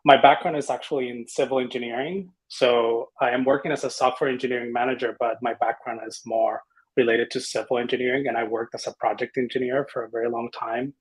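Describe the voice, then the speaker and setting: Husky man from the Dominican Republic. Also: medium pitch, fairly even intonation, fast, poor audio. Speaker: panelist, software engineering manager. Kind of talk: casual self-introduction.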